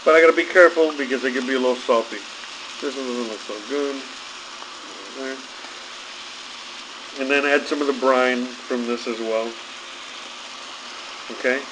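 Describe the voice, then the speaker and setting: Guy in his 30s from cuba doing a cooking vlog, relaxed rambly talk, midrange voice, noisy kitchen. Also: mid-range voice, moderate pace, long pauses. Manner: easygoing, rambling. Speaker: home cooking vlogger. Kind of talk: casual cooking vlog chat. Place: home kitchen, indoors. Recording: noticeable background noise in a kitchen.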